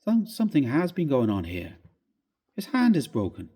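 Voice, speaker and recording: A man's voice: mid-range voice, huge pitch swings, normal speed, warm tone, husky. A man reading a ghost story aloud, voicing a jovial, brusque young Irish doctor who scoffs emphatically. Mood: surprised.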